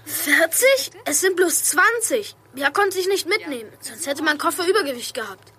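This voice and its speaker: A woman's voice: very high voice, animated, normal speed, sharp tone, full character voice. A woman playing a whiny, chocolate-obsessed chubby boy in a children's detective audio drama. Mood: indignant.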